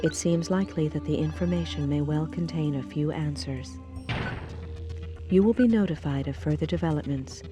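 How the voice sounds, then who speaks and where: An actress playing a composed police briefing officer, speaking formally and precisely in a video game. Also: female voice, low-pitched, normal speed, close to an everyday voice. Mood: detached, official. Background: music.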